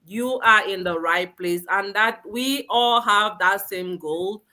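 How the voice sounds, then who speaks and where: A woman's voice: medium pitch, takes it slowly, sharp tone. A woman from Nigeria hosting a live online webinar, upbeat and exhortative, asking many questions of the audience. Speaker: host. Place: lives in Sweden.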